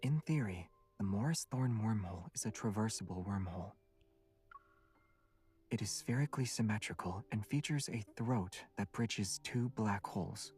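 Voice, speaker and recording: An actor playing a calm, gentle young companion in a romance game, speaking softly and with measured composure. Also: male voice, fairly low pitch, soft background music, lightly acted. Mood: measured, explanatory.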